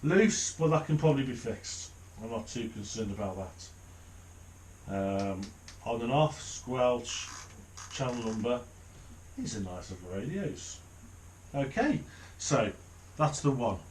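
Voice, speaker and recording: Unhurried man, slow pace. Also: mid-range voice, very animated, slight background noise, low-quality recording. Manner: unhurried, thinking aloud while handling items. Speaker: hobbyist reviewer. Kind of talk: casual unboxing commentary. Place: small home office, indoors.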